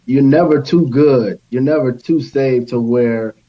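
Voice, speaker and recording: Young guy on a panel, earnest casual talk, average pitch, kinda dull lo-fi audio. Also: a little slow. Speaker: roundtable guest. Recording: slightly dull, lo-fi sound.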